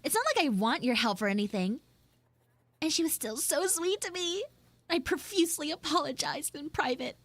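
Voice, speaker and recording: Tsundere schoolgirl for anime-style audio roleplay, played by a woman: high voice, pouty, defensive, halting, close dry sound. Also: lively intonation, dry recording. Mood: sheepish. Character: flustered, defensive tsundere anime schoolgirl, mean but secretly soft. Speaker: livestreamer and VTuber voice actress. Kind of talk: improvised live audio roleplay with chat asides.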